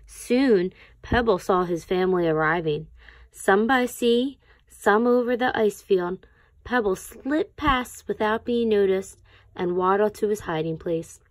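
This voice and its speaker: A woman reading a children's picture book aloud as a teacher would, with deliberate phrasing for young listeners. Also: medium pitch, no room sound. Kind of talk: read-aloud children's storybook narration.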